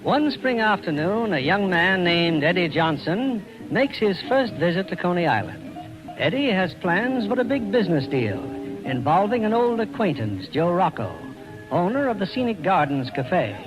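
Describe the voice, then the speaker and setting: A man's voice: high voice, big pitch swings, normal speed, low-quality recording. An American man narrating a 1940s radio drama from a script, with a polished, theatrical showman's delivery. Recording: old radio recording with orchestral music.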